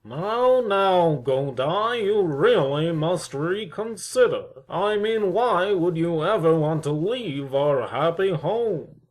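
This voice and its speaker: A man's voice: fairly high pitch, animated, takes it slowly, few pauses. A man playing a pompous, needling, mock-polite neighbour with formal, theatrical phrasing in an amateur audio drama. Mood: smug, mock-polite.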